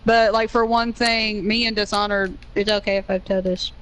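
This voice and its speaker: A woman's voice: mid-range voice, level tone, moderate pace, a bit bright, poor audio. A young woman with a Southern US accent, chatting earnestly while playing a game with a friend, rambling with many restarts. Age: young adult. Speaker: co-player on a gaming stream.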